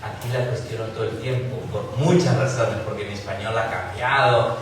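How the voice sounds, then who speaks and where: A man's voice: on the low side, very echoey, far from the mic, noisy background. A man from Peru, an author on a literary panel, talking in a reflective, thinking-aloud way with frequent restarts. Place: large university hall.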